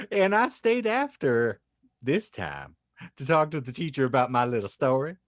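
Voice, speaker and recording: A man's voice: a little high, no echo, clean studio sound, voice pushed up. A man voicing a sweetly earnest, self-righteous devout housewife in a prim, gushing, emphatic manner for a comedic reading. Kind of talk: theatrical reading of fan fiction notes.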